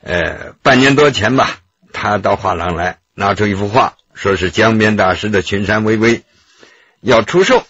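An actor playing a glib, slippery art dealer under questioning, husky, wordy and wheedling, in a radio drama. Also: male voice, fairly low pitch, expressive, normal speed, fairly bright tone, no room sound, lo-fi sound. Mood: evasive, flustered. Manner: wordy, wheedling, self-justifying. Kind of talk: Chinese radio drama acting.

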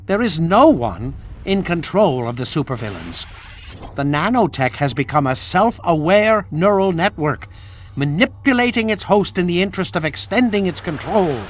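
An actor playing a brainy, anxious villain inventor talking technically in a video game cutscene. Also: male voice, sits high, big pitch swings, moderate pace. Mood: urgent, technical. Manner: technical, articulate.

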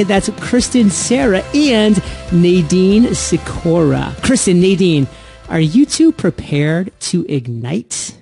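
A man's voice: high voice, darkish tone. A man hosting an upbeat entrepreneurship podcast, with an emphatic, punchy delivery. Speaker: podcast host.

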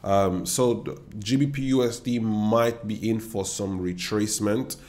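Young Nigerian trader, lowish voice, confident and chatty, desk-mic screen-share talk. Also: male voice, fairly even intonation, unhurried, darkish tone. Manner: confident, chatty, talks straight to viewers with asides. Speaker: forex trader and educator. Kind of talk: screen-share trading analysis. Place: indoor room.

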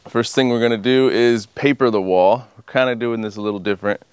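A man's voice: mid-range voice, fairly even intonation, brisk, darkish tone, clean tone. A man in his thirties, outdoors at a small house under repair, explaining the framing work in a casual, matter-of-fact way to a handheld camera. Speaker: homestead builder, channel host. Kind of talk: casual on-site walkthrough. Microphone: handheld camera at arm's length.

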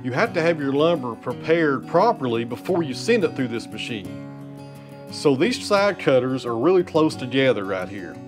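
A man in his thirties talks viewers through his woodshop machinery in a plain-spoken, folksy way, calling them friends and guys. He holds the camera close at arm's length in an indoor workshop. Plucked guitar music plays clearly under his voice. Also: medium pitch, big pitch swings, normal speed. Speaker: sawmill vlogger. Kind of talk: casual how-to explanation to camera.

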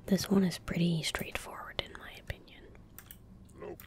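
A woman in her twenties speaking in a breathy, gentle whisper close to the mic, giving unhurried ASMR commentary as she plays a game. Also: on the low side, level tone. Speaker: ASMR gaming streamer. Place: indoor room at a desk. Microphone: close desk microphone.

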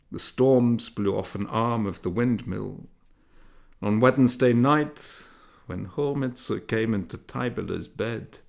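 A man narrating a literary story in a measured, dramatic storytelling manner. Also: fairly low pitch, gravelly. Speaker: audiobook narrator.

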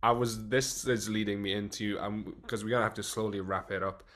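A man in his thirties hosting a casual interview over a video call, talking in an animated, expressive way. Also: fairly low pitch, fairly quick. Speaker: podcast host. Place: home room, indoors.

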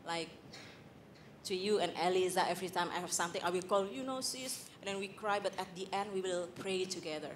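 A woman from Indonesia with an Indonesian accent, sharing a candid personal testimony on a church panel, halting with fillers, on a handheld mic in a large hall. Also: on the low side, a little hiss or hum. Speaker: panel guest.

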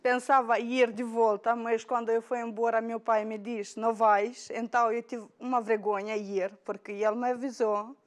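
A woman in her thirties with a Moldovan accent, a guest in a TV studio interview, speaking haltingly and searching for words, recorded on a lapel mic. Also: a little high, little pitch movement. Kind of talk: casual interview chat. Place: bright TV studio, indoors.

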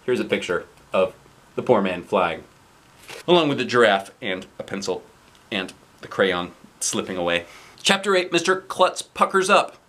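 A man in his forties reads a children's story aloud in an expressive, playful way, with asides to young listeners. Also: lowish voice, moderate pace. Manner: expressive, playful, exaggerated delivery. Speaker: children's book reader. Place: indoors, seated on a couch.

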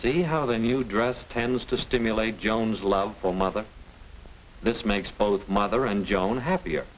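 A middle-aged American man reading scripted narration as a lecturer, didactic and deliberate. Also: lowish voice, low-quality recording. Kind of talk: scripted educational film narration. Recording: hissy 1950 film soundtrack.

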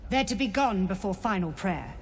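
An actress playing a stern, ruthless elf druid leader in a fantasy game, imperious and commanding. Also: female voice, medium pitch, animated, normal speed, a little hiss or hum, natural voice. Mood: menacing, imperious. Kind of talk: dramatic fantasy game acting. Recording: long reverb with music behind.

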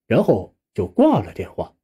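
Husky male storyteller with very animated pitch. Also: normal speed. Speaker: audiobook narrator. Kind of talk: first-person suspense storytelling, scripted narration.

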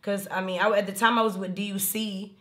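A woman in her thirties with a raspy alto voice, chatting casually in an interview and rambling through stories with many fillers. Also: medium pitch, level tone, brisk, fairly bright tone. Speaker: rapper and singer interviewed. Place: indoor room, seated on a leather couch.

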